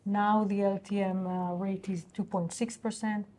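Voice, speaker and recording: Woman, mellow dark tone, medium pitch, halting explanatory answers, corporate earnings call. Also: flat delivery. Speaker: company executive answering investor questions.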